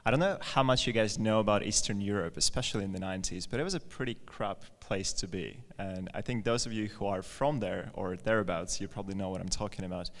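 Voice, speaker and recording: A man's voice: fairly low pitch, quick pace, few pauses. A man from Latvia telling his personal story as a guest on stage, in an informal, conversational way. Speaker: guest speaker, company founder. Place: large indoor event space, live on stage. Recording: echoey hall, live event PA.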